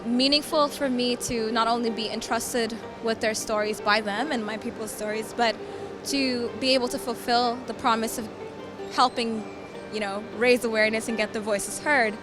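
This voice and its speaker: A young woman from Sudan, raised in Philadelphia, answering interview questions about her poetry in an earnest, reflective way, often repeating words as she thinks. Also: on the high side. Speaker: poet and climate refugee advocate. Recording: clear background music under voice.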